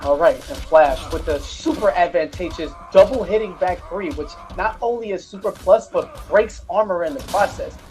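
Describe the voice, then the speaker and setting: A man calls a fighting game tournament in a loose, joking way, trading quips with his co-caster. His voice sits high for a man. Faint music plays underneath. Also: moderate pace, hardly any pauses, a little mellow. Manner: hype, loose, jokes with co-caster. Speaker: esports commentator. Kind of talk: live play-by-play commentary.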